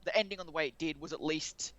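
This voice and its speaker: A man's voice: fairly high pitch, quick pace, fairly bright tone, rough recording. A man co-hosting a podcast in casual discussion, rambling and often rephrasing mid-sentence. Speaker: podcast co-host.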